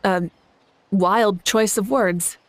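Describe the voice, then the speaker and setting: An actress playing a confident, composed female leader in a fantasy video game, wry and measured. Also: medium pitch, fairly even intonation, a little hiss or hum. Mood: amused, wry. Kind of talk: video game character acting.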